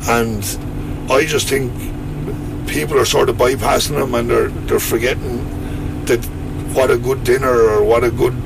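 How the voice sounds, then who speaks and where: A man, a potato farmer speaking outdoors in his field, plain-spoken and unhurried. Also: medium pitch, fairly even intonation, creaky. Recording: field with machinery noise. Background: vehicle and machinery noise.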